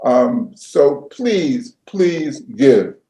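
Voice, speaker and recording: A man making a fundraising appeal over a video call, slow and encouraging, laughing easily. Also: mid-range voice, big pitch swings, dark tone, slight background noise. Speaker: fundraising organizer. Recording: echoey room on a video call.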